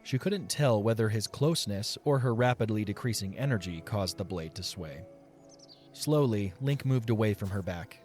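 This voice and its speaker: Lowish-voiced male story narrator. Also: expressive, normal speed. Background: soft music.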